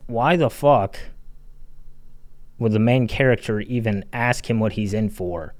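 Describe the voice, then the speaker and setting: A man's voice: lowish voice, a little slow, no room sound. A man giving a casual, blunt and sardonic video essay critique as a game reviewer, with some swearing.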